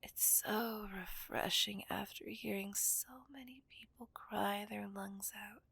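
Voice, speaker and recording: A woman's voice: mid-range voice, steady tone, takes it slowly, vocal fry, pushed and bright, husky, no room sound, heavily acted. A woman playing a dominant, taunting female villain in an ASMR roleplay, whispering breathily and possessively to a hostage. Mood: possessive, gloating.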